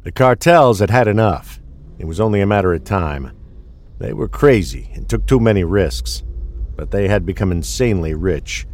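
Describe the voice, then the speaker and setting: An actor playing a weary, gruff older police detective nearing retirement in an audio drama. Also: male voice, lively intonation, moderate pace, a little mellow. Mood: grim recounting. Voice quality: low-pitched. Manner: curt, world-weary, swearing.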